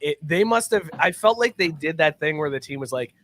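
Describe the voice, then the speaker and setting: A man with a fairly high voice hosts loose, comedic sports chat. He interjects often, jokes crudely and steers his co-hosts along. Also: lively intonation, brisk, a bit bright. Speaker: podcast host.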